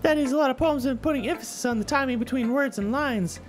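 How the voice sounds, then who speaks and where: Visual novel club leader, played by a woman: teenage girl, high-pitched, upbeat, encouraging, light music underneath. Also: fast talker, lightly acted. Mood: cheery. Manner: cheery, chatty, gently coaching. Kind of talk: visual novel character dialogue read aloud. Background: soft background music.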